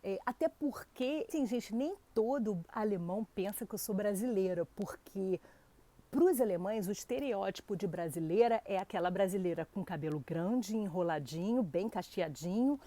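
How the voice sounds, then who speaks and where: A Brazilian woman with a Brazilian Portuguese accent speaks to camera as the host of her own channel. Her voice is warm and mellow, and she sits in a mid-range pitch typical for a woman. She talks to her viewers in a chatty, informal way, full of small fillers. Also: expressive, normal speed. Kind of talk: casual talk to camera.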